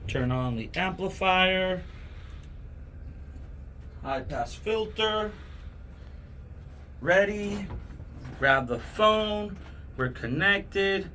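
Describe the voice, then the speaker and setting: A man's voice: high voice, expressive, drawn-out words, long pauses, bright, forceful tone, a little hiss or hum. A man hosting a casual product unboxing, talking enthusiastically to viewers in short, punchy, repeated phrases. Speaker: host of product unboxing videos. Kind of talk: casual hype product demo to camera.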